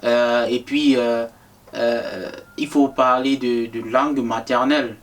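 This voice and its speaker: Hesitant man from Cameroon, flat voice. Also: medium pitch, slow. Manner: hesitant, many fillers and restarts. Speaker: guest author. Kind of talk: casual interview answers. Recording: distant-sounding voice.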